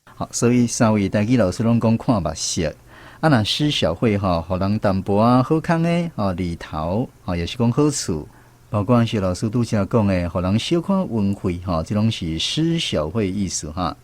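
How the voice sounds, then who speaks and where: A man's voice: lowish voice, lively intonation, moderate pace, darkish tone. A man with a Taiwanese accent, speaking as a radio host leading a language quiz segment. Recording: music playing behind the voice. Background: instrumental music.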